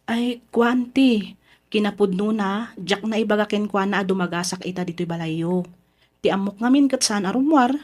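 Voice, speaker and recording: A woman's voice: medium pitch, moderate pace, a little mellow, lightly acted. A woman playing a polite, tentative young visitor in a Filipino radio drama. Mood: polite, apologetic. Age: young adult.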